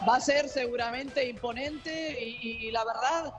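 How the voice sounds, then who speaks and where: A woman's voice: on the high side, measured pace, over loud music. A woman from Italy, raised elsewhere, speaking as a guest in a live TV news interview, hesitant and full of fillers and restarts.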